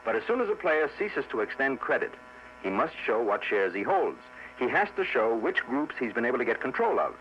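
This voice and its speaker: Shrewd spaceship captain, brisk and assertive, animated pitch, muffled vintage radio sound. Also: male voice. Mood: brisk, explanatory. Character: spaceship captain, shrewd, brisk, smug board-game player. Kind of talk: 1950s radio drama acting. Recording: muffled 1950s radio recording with hum.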